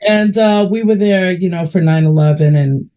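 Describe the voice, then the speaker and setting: A man's voice: high-pitched, normal speed, dark tone, clear, resonant tone. A man from New York with a New York accent, interviewing a guest in a chatty, folksy, heartfelt way. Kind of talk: casual interview chat.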